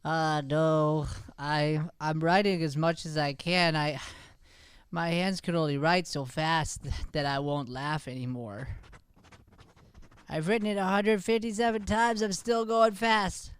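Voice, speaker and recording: A man's voice: a little high, fairly even intonation, a little slow, clean tone, no echo, lightly acted. A man playing an earnest, evasive schoolkid in an improvised radio comedy, hesitant and innocently questioning. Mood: defensive. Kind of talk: improvised old-time radio comedy acting.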